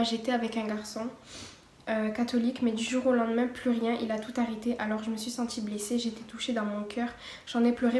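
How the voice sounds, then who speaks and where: A woman's voice: fairly high pitch, darkish tone. A teenage girl giving a casual personal testimony, partly read from notes, hesitant with many fillers and restarts. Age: teens. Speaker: vlogger. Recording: echoey room, faint background noise.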